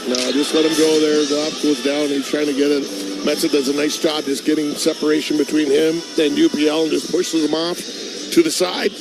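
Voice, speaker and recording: A man's voice: fairly high pitch, flat delivery, a little fast, music in the background, low-quality recording. A man with a North American accent giving conversational live hockey analysis as a color commentator in a booth. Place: broadcast booth at a hockey arena.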